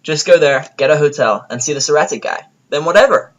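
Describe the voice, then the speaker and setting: A man voicing a brash, eager young female pegasus pony in an audio drama, with energetic, exclamatory delivery. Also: a little high, a little fast, lo-fi sound, voice pushed up, lightly acted. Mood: decisive.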